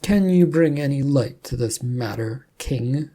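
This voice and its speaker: A woman's voice: very deep voice, animated, a little slow, mellow, full character voice. A woman voicing a blunt, weary male adventurer in an audio storybook, plain-spoken and sardonic. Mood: awkward, probing.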